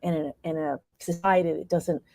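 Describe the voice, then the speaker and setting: An American woman speaking reflectively as an interview guest, thoughtful and halting as she searches for her words. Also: low-pitched, fairly even intonation, mellow, dry recording. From New York state, United States. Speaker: interview guest, playwright and performer.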